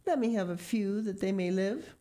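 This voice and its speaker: A woman's voice: medium pitch, normal speed, dark tone, pitched above the performer's own voice, close to an everyday voice. A woman voicing a huge, polite elephant who asks for food for its calves and then apologizes courteously, in a read-aloud story.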